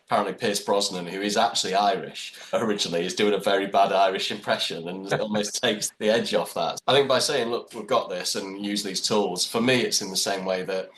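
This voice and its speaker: A man's voice: lowish voice, fairly quick, hardly any pauses, fairly bright tone, poor audio. A man co-hosting a podcast, talking casually and rambling with the odd laugh. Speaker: podcast co-host. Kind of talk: casual chat.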